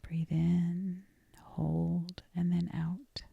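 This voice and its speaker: A woman leading a guided meditation in a soft, gentle, deliberate voice that often trails toward a whisper, with frequent pauses. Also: on the low side, flat delivery, gravelly, slightly rough, lo-fi sound. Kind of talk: guided meditation, scripted and soothing.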